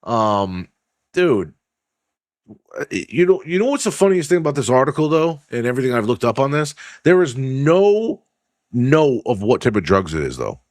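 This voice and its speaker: A man hosting a casual sports podcast, rambling and swearing as he reads news aloud with his own asides, his voice going hoarse. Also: mid-range voice, sing-song, normal speed, no echo, studio quality. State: losing his voice. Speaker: podcast host.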